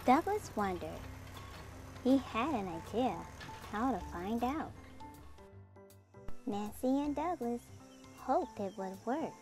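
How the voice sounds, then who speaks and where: A woman narrating a children's picture book aloud in an expressive storytelling style. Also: fairly high pitch, slow pace, pauses often. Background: music under the voice.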